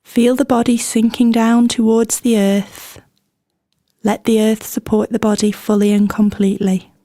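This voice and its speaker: A woman leading a guided meditation in a gentle, soothing manner, with scripted instructions and unhurried pauses between phrases. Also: a little high, level tone, dry recording, studio quality.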